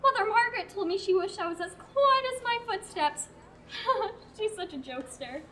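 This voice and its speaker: A woman's voice: extremely high pitch, animated, normal speed, pushed and bright, a little hiss or hum, full character voice. A teenage actress playing a naive, flustered young scribe raised in a nunnery, rambling and stumbling over her words. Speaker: student actor. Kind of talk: amateur radio play acting.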